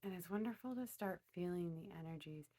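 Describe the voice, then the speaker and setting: A woman with a fairly low voice guides listeners through a meditation. She speaks deliberately, often pausing partway through a phrase before carrying on. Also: mellow.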